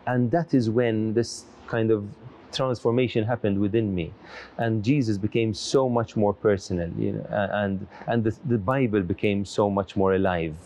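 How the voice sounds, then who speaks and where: Earnest low-voiced priest, reflective. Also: male voice, steady tone, normal speed, mellow. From Malta. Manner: earnest, reflective storytelling with frequent restarts. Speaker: priest and lecturer. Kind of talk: interview answers. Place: large church interior.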